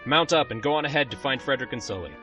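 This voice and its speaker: A man playing a steady, good-natured young lord and commander in a fan audio drama. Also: medium pitch, very fast, dull audio, soft background music, close to an everyday voice. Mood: commanding.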